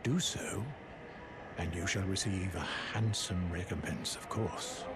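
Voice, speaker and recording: An actor playing a courteous, calculating man in a fantasy game, speaking with measured, formal politeness. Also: deep voice. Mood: coaxing. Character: courteous, calculating man offering rewards for favours. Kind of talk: scripted fantasy game acting. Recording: loud music behind the voice.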